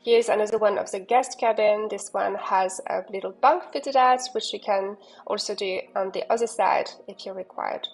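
A woman's voice: medium pitch, fairly even intonation, moderate pace, vocal fry, hardly any pauses, husky, soft background music, rough recording. Enthusiastic woman giving a yacht tour. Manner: enthusiastic, with fillers and restarts. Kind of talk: walkthrough narration.

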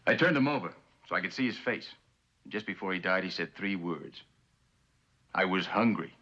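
A man's voice: deep voice, expressive, normal speed, bright, forceful tone, full character voice. An actor playing a bitter, guarded war veteran and former lawman, terse and wry, with an American accent in western drama style. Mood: haunted, regretful. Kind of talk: mid-century American western drama acting. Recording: dull, lo-fi old drama soundtrack.

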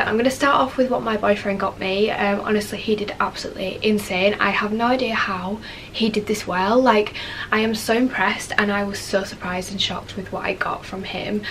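A woman's voice: medium pitch, fairly bright tone, slight background noise, low-quality recording. Gushing young Yorkshire woman with a cold. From Leeds, West Yorkshire, England. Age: 20s. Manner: gushing, enthusiastic, many fillers like "um" and "like". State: has a cold, a bit sniffly. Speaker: lifestyle vlogger. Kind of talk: casual chatty haul video. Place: bedroom, indoors.

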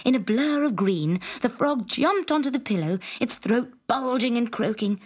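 A woman narrating a scripted children's story in an expressive, dramatic manner with breathy emphasis. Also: a little high, normal speed.

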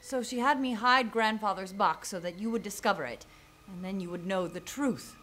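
A woman's voice: medium pitch, moderate pace, fairly bright tone, slight background noise, natural voice, poor audio. An actress playing a sly, sassy young woman who was an inside spy in a campy comedy. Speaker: actor.

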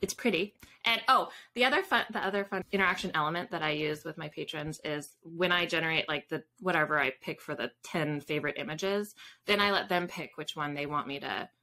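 A woman's voice: low voice, brisk, sharp tone, slight background noise. A woman in her thirties chatting casually as an interview guest, explaining things in a rambling way with asides. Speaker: guest author. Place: home room with bookshelves, indoors. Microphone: video call.

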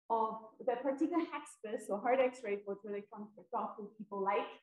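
A woman from Austria, a native German speaker, giving a conversational academic seminar with informal, self-deprecating asides. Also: fairly high pitch. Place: indoor seminar room with projection screen. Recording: echoey lecture room.

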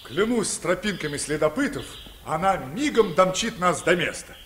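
A man's voice: sits high, expressive, moderate pace, fairly bright tone. A man playing a grandiloquent frontier pathfinder hero in an old children's radio play. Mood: grand, reverent. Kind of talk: mid-century Soviet radio drama acting. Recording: old radio recording, faint outdoor noise.

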